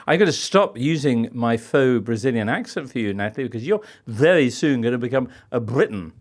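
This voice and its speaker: A man hosting a football podcast, his voice rising and falling with a lot of movement. He talks in a chatty, quick-witted way and jokes easily with his guests. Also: mid-range voice, expressive. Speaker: podcast host. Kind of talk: casual football podcast chat.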